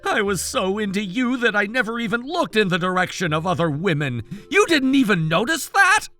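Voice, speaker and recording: Man acting an animated, bright-voiced earnest husband. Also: high voice, moderate pace, faint music behind, heavily acted. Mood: earnest, smitten. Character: earnest, indignant young husband. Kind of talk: dramatized story dialogue.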